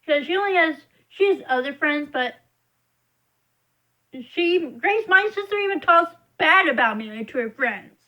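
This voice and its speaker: A woman's voice: sits high, animated, a little slow, muffled recording. A young woman vlogging to camera, rambling as she complains in frustration. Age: young adult. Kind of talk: casual venting monologue.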